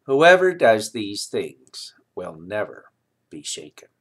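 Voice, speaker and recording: A man reading scripture aloud in a solemn, deliberate manner, with measured phrases and pauses. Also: lowish voice, sing-song. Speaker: scripture reader.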